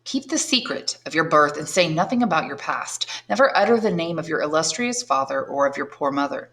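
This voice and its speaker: A woman reading aloud, playing a calm noble man who speaks in a measured, earnest way. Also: low voice. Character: calm, noble older man, tender and commanding.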